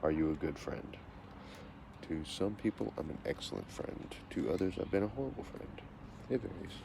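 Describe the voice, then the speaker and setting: Man, 40s, deep voice. Reads questions and answers them matter-of-factly. Casual self-interview, noisy recording. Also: creaky. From Texas, USA. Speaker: vlogger answering a question tag.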